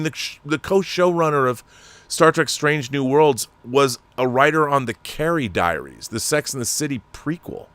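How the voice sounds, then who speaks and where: A man's voice: medium pitch, a bit bright, dry recording. A man hosting his channel and reading viewer letters, ranting with exasperation and repeating words as he talks off the cuff. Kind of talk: unscripted commentary.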